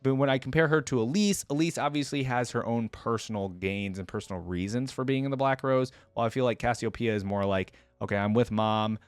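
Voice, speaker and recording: A man's voice: mid-range voice, fast talker, hardly any pauses, smooth, dry recording. A man giving casual fan commentary as a reaction video host, thinking aloud in a rambling, conversational way.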